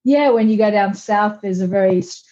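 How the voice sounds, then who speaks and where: A woman's voice: medium pitch, normal speed, mellow. Australian woman on a dull remote line. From Sydney, Australia. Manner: chatty, cheerful, laughs easily. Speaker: guest, events business owner. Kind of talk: casual interview chat. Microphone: remote call.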